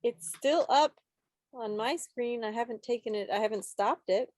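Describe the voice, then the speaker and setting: A woman's voice: a little high, moderate pace, a little mellow, no echo. A woman hosting a quiz at an online club meeting, chatting casually over a video call.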